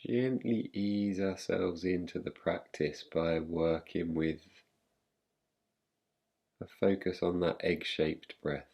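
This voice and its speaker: A man guiding a meditation in a calm, gentle, unhurried manner, leaving long pauses between phrases. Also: deep voice, vocal fry, breathy edge, highs cut off. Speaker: meditation guide. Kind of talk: guided meditation, yoga nidra.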